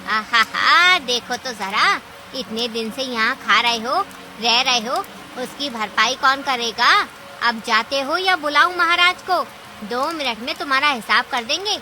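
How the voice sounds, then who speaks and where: Greedy, scheming cartoon aunt creature, played by a woman: high, sharp voice, theatrical and scolding, prone to panic. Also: expressive, unhurried, slight background noise, full character voice, poor audio. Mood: angry, scolding. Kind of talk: Hindi cartoon story acting.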